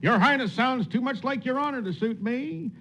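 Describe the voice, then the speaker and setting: Comic western sidekick, very high voice, florid, pompous, jovial tippler, noisy vintage radio. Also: male voice, moderate pace, vocal fry, sharp tone, heavily acted. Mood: mock protesting. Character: pompous, jovial hard-drinking snake-oil pitchman sidekick. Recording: noisy old radio recording.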